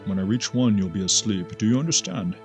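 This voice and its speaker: A man's voice: lowish voice, lively intonation, a little fast, soft background music. Man playing a careful, commanding hypnotherapist. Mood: soothing, commanding. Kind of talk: audio drama acting.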